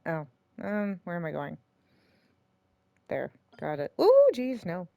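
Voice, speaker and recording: A woman's voice: fairly low pitch, huge pitch swings, slow, vocal fry, warm tone, no room sound, lo-fi sound. A woman giving casual, reactive let's play commentary, often muttering to herself as a game streamer. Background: game music.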